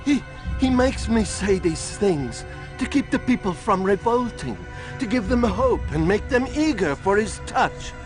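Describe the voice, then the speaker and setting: A man's voice: high-pitched, lively intonation, unhurried. A man playing a cowardly, frightened townsman in a video game, stammering and pleading. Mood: terrified, pleading. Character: terrified, cowardly male town preacher turned informant. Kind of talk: dramatic video game acting. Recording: loud music behind the voice.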